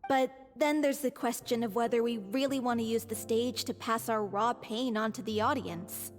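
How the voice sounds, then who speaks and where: A woman's voice: on the high side, steady tone, moderate pace, long reverb tail, faint music behind, lightly acted. An actress voicing a sincere, wistful young woman of a theatre troupe, earnest and thoughtful, in a video game. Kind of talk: scripted video game acting.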